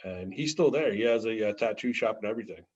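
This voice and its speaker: A man co-hosting a casual discussion, speaking haltingly with many pauses and fillers that trail off quietly. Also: fairly low pitch, expressive, breathy edge, lo-fi sound. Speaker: podcast co-host. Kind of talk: casual chat.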